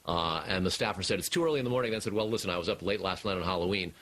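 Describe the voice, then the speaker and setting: Male TV correspondent, American, lower register, deliberate and emphatic live report. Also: fairly bright tone. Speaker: TV news correspondent. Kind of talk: live broadcast news report.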